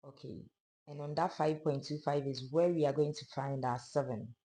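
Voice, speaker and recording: Hoarse sewing teacher explaining steps. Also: mid-range voice, normal speed. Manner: calm, step-by-step explaining of measurements. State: hoarse, losing voice. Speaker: sewing instructor. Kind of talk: pattern-drafting tutorial narration.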